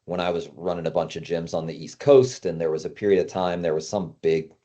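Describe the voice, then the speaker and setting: A man's voice: low voice, fairly even intonation, fairly quick, warm tone, muffled recording. A man talking casually as a guest consultant, explaining business matters in loose, conversational language.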